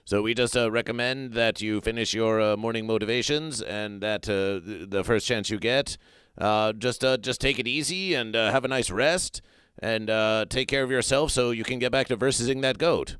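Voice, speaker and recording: A man improvising a comedy sketch as a self-assured male fish doctor, talking in halting runs full of fillers and restarts. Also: medium pitch, a bit bright, clean tone, no room sound, natural voice. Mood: clinical. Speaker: comedy performer.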